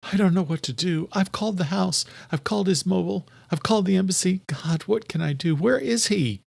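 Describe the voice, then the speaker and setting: Man voicing a high-pitched, pleading, distraught young wife. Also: fairly quick, creaky, darkish tone, dry recording. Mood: anxious, pleading. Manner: pleading, urgent. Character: distraught, anxious young wife searching for her missing husband.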